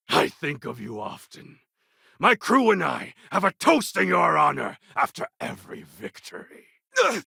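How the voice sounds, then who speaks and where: A man playing a proud, brooding warlord and rebel leader in a video game, with a husky, gravelly voice and grave, formal, deliberate delivery. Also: fairly high pitch, bright, forceful tone, no echo, studio quality, heavily acted. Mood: proud, resolute, then battle fury. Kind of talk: video game character acting.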